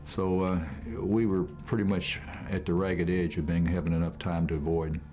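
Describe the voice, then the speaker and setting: A middle-aged man, an airline pilot, recounting his experience in an interview in a measured, matter-of-fact way. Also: sits low, level tone, a little mellow, soft background music.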